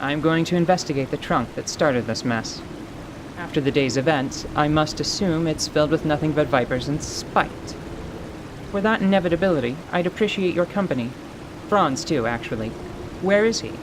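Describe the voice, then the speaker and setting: Man as higher-voiced, sardonic tiefling boss, rain behind. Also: normal speed, noisy background, natural voice. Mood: brisk, wry. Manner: wry, florid, formal. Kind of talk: fantasy radio drama acting. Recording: steady rain and stream ambience behind.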